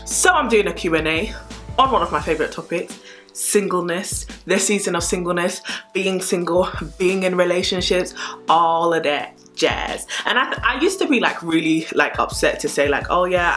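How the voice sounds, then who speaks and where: A twenty-year-old British woman vlogging in a casual, chatty Q and A style, with an animated, emphatic way of talking. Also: fairly low pitch, normal speed, soft background music.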